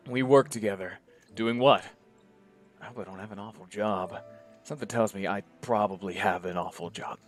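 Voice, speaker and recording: A young man playing a dazed, sardonic male medic, talking in a wry inner monologue that slips into hushed whispers. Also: fairly low pitch, moderate pace, faint music behind, lightly acted. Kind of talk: one-man voice acting.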